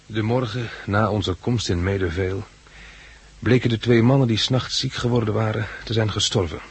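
An actor with a Dutch accent from the Netherlands playing a level-headed captive who guides blind survivors and narrates a 1960s radio drama, earnest and reasoning. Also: male voice, lowish voice, steady tone, normal speed, husky. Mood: sober narrating. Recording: noisy old 1960s radio recording.

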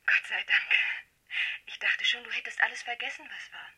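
Breathy, lovesick young woman. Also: on the high side, moderate pace, sharp tone, heavily acted. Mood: hurt, relieved. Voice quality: breathy, half-whispered. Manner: pleading, intimate, hesitant. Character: lovesick, insecure, clinging young shopgirl in love. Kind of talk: 1970s German radio drama acting.

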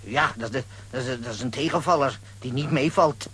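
An actor playing a bumbling, boastful but good-natured man with a husky voice and a blustering, muddled manner in a children's audio drama. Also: mid-range voice, a little fast, fairly bright tone. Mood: cocky, flustered.

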